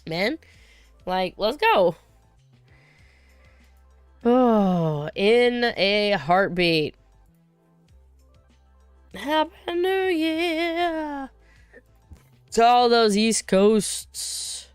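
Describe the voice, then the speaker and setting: A woman's voice: a little high, big pitch swings, drawn-out words, pauses often, smooth, no echo, faint music behind. A woman hosting a casual livestream, rambling and chatty as she reads viewer comments, her voice still recovering from being sick. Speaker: livestream host.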